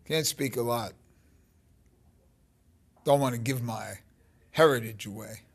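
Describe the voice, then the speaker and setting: A man in his fifties giving an informal spiritual talk to his phone, halting and rambling, with emphatic stresses and a gravelly voice. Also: medium pitch. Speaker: spiritual teacher. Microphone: phone held close at arm's length.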